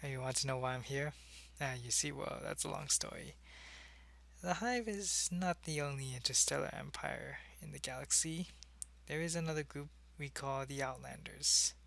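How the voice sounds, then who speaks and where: A man's voice: medium pitch, vocal fry, sharp tone. A man playing an earnest, secretive alien agent in an ASMR roleplay, talking to a human in a hesitant, explanatory way. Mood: grave, explanatory. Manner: hesitant, explanatory, intimate one-sided conversation. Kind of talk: ASMR roleplay, acted monologue to the listener.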